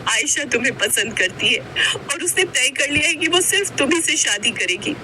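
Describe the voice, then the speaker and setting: A woman's voice: sits high, animated, normal speed, pushed and bright, slight background noise, pitched above the performer's own voice, full character voice. A woman voicing a sincere, dutiful college girl from a poor family, in a cartoon story style. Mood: resolute, sad. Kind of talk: Hindi cartoon story voice acting.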